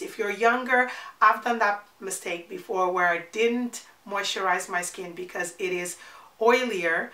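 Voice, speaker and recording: A woman's voice: medium pitch, sharp tone, roomy sound. A woman in her forties with a congested, nasal voice from a cold, chatting her way through a makeup tutorial and repeating words for emphasis. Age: 40s. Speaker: beauty vlogger.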